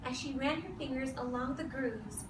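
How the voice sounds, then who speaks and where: High-voiced woman teacher in an echoey classroom. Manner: firm, directive, with short commands; deliberate repetition of key phrases. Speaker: elementary school teacher. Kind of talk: classroom read-aloud and instruction to young children. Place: classroom full of seated children. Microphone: distant camera across the room. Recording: echoey, noisy classroom recorded from far off.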